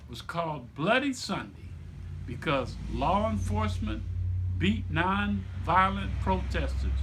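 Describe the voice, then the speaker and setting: A man reading aloud to children like a teacher, with deliberate, emphatic phrasing and explanatory asides. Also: a little high, fairly bright tone, a little hiss or hum. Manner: deliberate, emphatic phrasing with repetition for young listeners.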